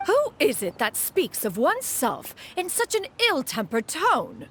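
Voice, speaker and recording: Haughty, lilting immortal sage. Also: female voice, sits high, wildly swooping pitch, measured pace, a bit bright, slight background noise, exaggerated voice. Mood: imperious. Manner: pompous, formal, refers to self as "one". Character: haughty, dignified immortal bird sage, caring mentor.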